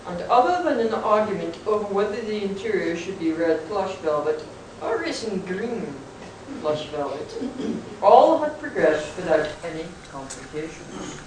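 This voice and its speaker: A man's voice: high voice, sing-song, moderate pace. Man acting formal English narrator, pompous, comic radio play, echoey distant mic, faint noise. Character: stuffy, polite old-school English narrator.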